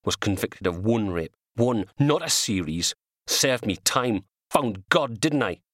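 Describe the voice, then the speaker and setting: An audiobook crime-novel ex-convict , acted out by a man, volatile and resentful. His voice is pushed up high and swings widely, in a close, dry recording. He talks in blunt, colloquial protest. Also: lively intonation, measured pace, a bit bright, dry recording. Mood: sullen defiance. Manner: indignant, defiant, colloquial northern English wording. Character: volatile, sullen convicted man of thirty-nine from Newcastle.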